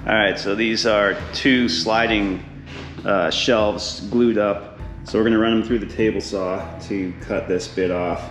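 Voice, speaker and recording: A man's voice: on the low side, fairly even intonation, unhurried. A man narrating a DIY renovation vlog in a casual, informal way, explaining things hands-on as he works. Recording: echoey room, music underneath.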